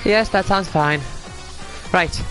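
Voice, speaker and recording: A man's voice: high voice, slow pace, music in the background, rough recording. A man with a cold, chatting casually while streaming a game and thinking aloud as he plays. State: has a cold. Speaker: livestreamer playing a game. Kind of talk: casual gameplay chat.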